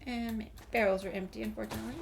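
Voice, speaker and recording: Slightly high-voiced woman gamer, rambling casually. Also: fairly even intonation, fast, vocal fry, noisy background. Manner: rambling, thinking aloud. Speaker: gaming video host. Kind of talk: casual gameplay commentary.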